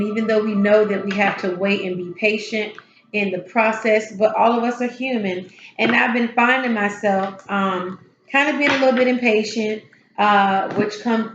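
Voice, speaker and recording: A woman hosting a tarot and spiritual channel, her voice a little hoarse, talking slowly and encouragingly from her home healing space. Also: medium pitch, roomy sound, lo-fi sound, a little hiss or hum. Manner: soft, unhurried, encouraging, with drawn-out pauses. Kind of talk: casual spiritual encouragement talk.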